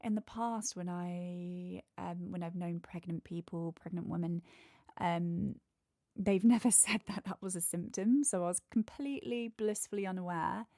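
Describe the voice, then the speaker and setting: Nasal, congested woman with gravelly tone. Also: lowish voice, warm tone, no echo, studio quality. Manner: candid, chatty, lists points one by one. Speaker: podcast host. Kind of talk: casual solo podcast monologue.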